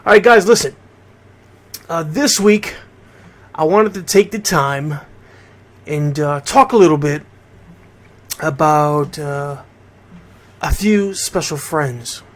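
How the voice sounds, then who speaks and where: A man's voice: a little high, slow pace, long pauses. A middle-aged American man from Connecticut hosting a radio show, talking informally and directly to his listeners. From Bridgeport, Connecticut, United States. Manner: conversational, addresses listeners directly. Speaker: radio host and DJ.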